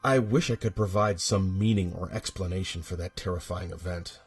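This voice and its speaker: Husky low-voiced man narrating horror. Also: steady tone, faint music behind. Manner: measured, suspenseful delivery with hushed drops and intense swells. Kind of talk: dramatic first-person horror narration.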